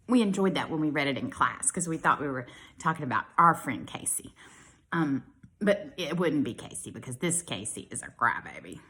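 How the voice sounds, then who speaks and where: A woman with an American accent, a kindergarten teacher reading a story aloud to young children and explaining it simply as she goes. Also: fairly low pitch.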